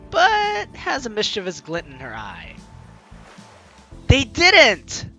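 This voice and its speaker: Male let's-play host, high bright voice, playful teasing commentary, reading game text aloud, music behind. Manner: playful, teasing, exclamatory, talks to the audience.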